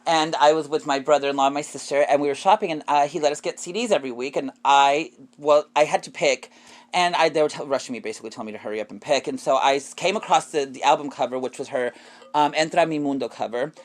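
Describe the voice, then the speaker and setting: Male podcast co-host, quick and slightly high-pitched, opinionated, rambling, conversational. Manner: rambling, self-correcting storytelling. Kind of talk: casual opinionated podcast discussion.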